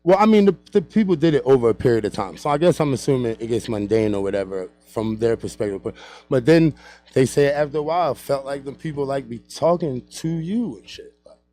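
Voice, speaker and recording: A man's voice: medium pitch, lively intonation, fairly quick, dark tone. A man co-hosting a radio talk show, rambling, cursing freely and repeating himself. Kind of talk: casual chat.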